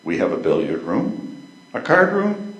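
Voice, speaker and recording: A man's voice: mid-range voice, huge pitch swings, unhurried, muffled recording, faint music behind. Older man playing a genial, swooping hotel concierge. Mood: eager, courteous. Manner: courteous, salesmanlike. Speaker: play reader. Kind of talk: amateur readers theater. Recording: echoey room.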